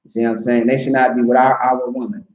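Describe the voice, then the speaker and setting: A man's voice: mid-range voice, little pitch movement, fast, a little mellow. A man teaching a scripture class in an emphatic, repetitive way, often checking that listeners follow. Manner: emphatic, repetitive, asks "see what I'm saying". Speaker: class instructor. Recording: roomy, dull recording.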